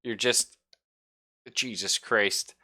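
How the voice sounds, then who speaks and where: A man's voice: on the low side, fairly even intonation, extremely slow, bright, forceful tone, no echo, studio quality. A man casually narrating a tabletop roleplaying game as game master, slipping into characters' lines and swearing.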